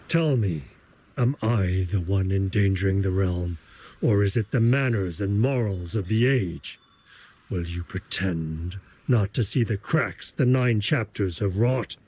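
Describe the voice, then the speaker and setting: An actor playing a suave, scheming video game villain, with a deliberate, formal, taunting and theatrical delivery. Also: male voice, lowish voice, dark tone, husky. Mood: mocking, persuasive. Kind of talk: scripted video game voice acting.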